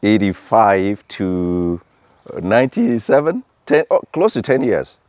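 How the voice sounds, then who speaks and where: A man's voice: lowish voice, very animated, slow pace, darkish tone, dry recording. A man, an executive chef answering interview questions in an affable way. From Ghana. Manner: affable, says yes a lot. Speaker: executive chef and restaurant owner. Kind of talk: casual interview.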